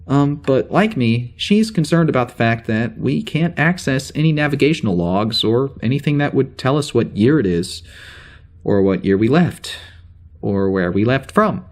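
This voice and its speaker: A man acts out an anxious male security officer aboard a spaceship, talking into a personal log for an audio drama. His mid-pitched voice rambles and hesitates, correcting itself with dry, wry understatement. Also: expressive, normal speed, a little mellow, lightly acted. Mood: calmer, pondering.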